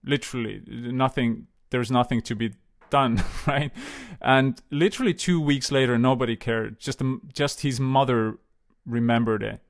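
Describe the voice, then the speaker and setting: A man's voice: mid-range voice, a little slow, hardly any pauses. Man telling stories with many fillers. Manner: hesitant, many fillers and restarts; storytelling, relaxed. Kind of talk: casual conversation.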